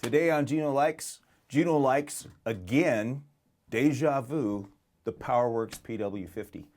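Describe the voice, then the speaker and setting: A man's voice: medium pitch, a little slow, a little mellow. A middle-aged American man giving a casual, unscripted product demonstration in a chatty, rambling, conversational way. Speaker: music store product presenter.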